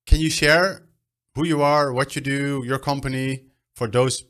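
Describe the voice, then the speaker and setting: A man's voice: mid-range voice, fairly even intonation, a little slow, fairly bright tone. A man hosting an interview show over a video call, talking in an enthusiastic, upbeat way. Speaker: podcast host.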